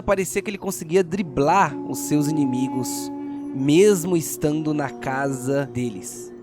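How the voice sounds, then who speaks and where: A man with a Brazilian accent hosting and narrating a tabletop RPG audio drama as game master, in a theatrical, deliberate storyteller's manner. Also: a little high. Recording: music playing behind the voice. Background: music.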